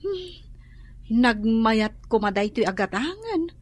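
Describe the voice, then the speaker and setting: An actress playing a hopeful, concerned young wife in a radio drama, with an Ilocano accent. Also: female voice, on the high side, big pitch swings, takes it slowly, heavily acted. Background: music.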